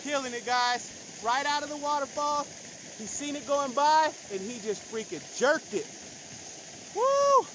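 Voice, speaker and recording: A high-voiced man in his thirties talks straight into a phone held at arm's length, outdoors by the water. His talk is loose and slangy, hyped up, with whoops after catches. The recording has a steady layer of outdoor noise behind him. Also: unhurried, low-quality recording. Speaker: fishing vlogger. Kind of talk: casual outdoor vlog commentary. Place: outdoors by a pond and river. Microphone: phone camera at arm's length.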